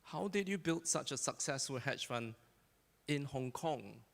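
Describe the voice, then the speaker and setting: Male panel moderator with a gravelly voice, reverberant hall, joking introductions. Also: mid-range voice, expressive, unhurried, fairly bright tone. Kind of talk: panel interview on stage. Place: conference hall.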